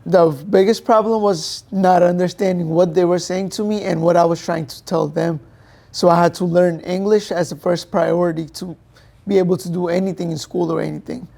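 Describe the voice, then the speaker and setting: Young Pakistan-born man, gravelly and mellow. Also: sits high, flat delivery, moderate pace. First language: Urdu. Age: young adult. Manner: plain, unpolished, thinks aloud with fillers. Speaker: guest, professional boxer. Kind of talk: interview answers.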